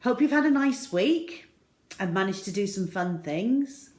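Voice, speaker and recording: A woman reading to children like a primary teacher, expressive, with deliberate emphasis. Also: medium pitch, echoey room. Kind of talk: children's storytelling, expressive narration.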